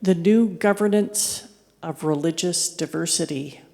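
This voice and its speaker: A low-voiced woman offers informal remarks at an academic meeting. She speaks hesitantly, pausing to search for words, and her voice carries long reverb from a big hall. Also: creaky, warm tone. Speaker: academic and journal editor at a panel session.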